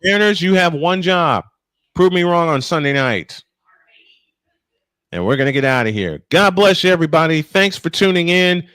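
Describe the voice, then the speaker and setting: A man's voice: fairly high pitch, lively intonation, moderate pace, fairly bright tone, clear, resonant tone, no echo. A man hosting a live baseball broadcast as play-by-play announcer, talking to listeners in a folksy, direct way. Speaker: play-by-play sports broadcaster and host. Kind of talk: live baseball commentary and sign-off.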